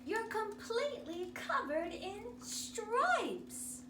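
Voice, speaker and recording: A woman's voice: very high voice, sing-song, very slow, pitched above the performer's own voice, full character voice. A woman voicing an alarmed, bossy mother from a children's storybook in an emphatic, melodramatic way. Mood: shocked. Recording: roomy, distant mic, noisy background.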